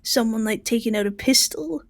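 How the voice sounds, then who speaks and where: A woman's voice: a little high, moderate pace, dry recording. Jumpy, rambling, swearing woman. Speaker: bounty hunter in a roleplay game. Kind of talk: in-character roleplay chat.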